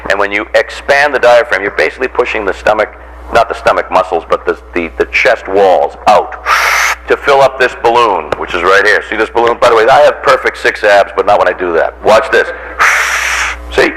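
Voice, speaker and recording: Low-voiced American man coaching a group. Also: moderate pace, rough recording. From Randolph, near Boston, United States. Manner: jocular, animated teacher who acts out examples and puts questions to listeners. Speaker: voice coach giving a workshop. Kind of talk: informal instructional talk to a group.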